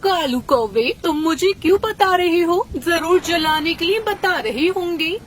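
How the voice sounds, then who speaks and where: Woman voicing a very shrill female cartoon crow. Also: lively intonation, unhurried, a little hiss or hum. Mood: jealous. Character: jealous, gluttonous crow wife. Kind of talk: Hindi cartoon story voice acting.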